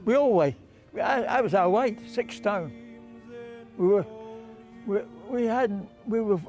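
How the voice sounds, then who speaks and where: A man's voice: high voice, slow, darkish tone, soft background music. A man in his nineties, a war veteran, recalling his past for an interview in short phrases, often repeating himself. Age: 90s. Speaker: war veteran, former prisoner of war. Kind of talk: interview reminiscence. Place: outdoors on a bench by a car park.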